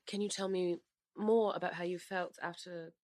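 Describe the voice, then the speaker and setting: A woman's voice: lowish voice, steady tone, moderate pace, darkish tone, dry recording, clean studio sound, natural voice. A woman playing an inquisitive, probing interviewer from a future society in an audio drama. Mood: curious, probing.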